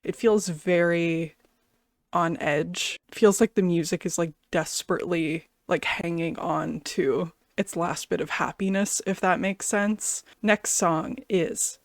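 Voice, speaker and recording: A woman's voice: fairly low pitch, steady tone, slow pace, no room sound, clean studio sound. A woman giving a casual, thoughtful music reaction and review, feeling her way through her impressions with a hesitant delivery.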